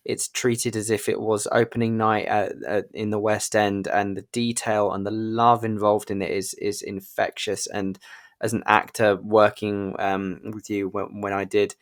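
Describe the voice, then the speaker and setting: Male podcast host, slightly low pitch. Keen, gushing interviewer with meandering, chatty questions. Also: level tone, unhurried. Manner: enthusiastic, effusive, rambling questions. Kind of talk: conversational interviewing.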